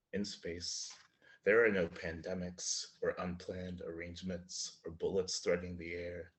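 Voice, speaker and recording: A man presenting and reading his own poems at an online literary event. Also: low-pitched. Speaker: featured poet reading own work. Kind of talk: live poetry reading with spoken introductions. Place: indoor room in front of a slate wall, online reading.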